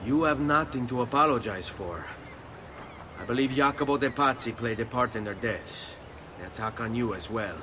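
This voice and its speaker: An actor playing a grave, determined young Italian assassin in a video game, with an Italian accent. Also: male voice, medium pitch, moderate pace, noisy background, natural voice. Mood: grave, resolute. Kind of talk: dramatic video game acting.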